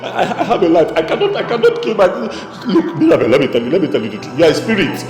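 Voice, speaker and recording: Haunted man, Nigerian accent, film drama. Very high, swooping voice, stammering, agitated, self-justifying, in a reverberant space. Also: wildly swooping pitch, very fast, exaggerated voice. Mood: pleading, insistent. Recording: echoey, reverberant hall sound with music.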